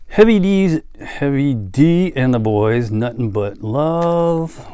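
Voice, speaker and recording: A man talks through his collection at a slow, unhurried pace. His delivery is casual and halting, drifting into small asides, with a mid-range pitch. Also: expressive, dark tone, clean tone, no room sound. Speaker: music collector and vlogger. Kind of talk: casual show-and-tell chat.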